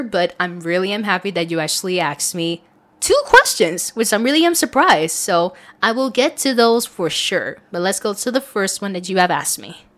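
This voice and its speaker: A woman's voice: mid-range voice, fairly bright tone. A woman speaking casually and earnestly in a rambling, chatty way as she reads and answers questions from listeners. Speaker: audio content creator.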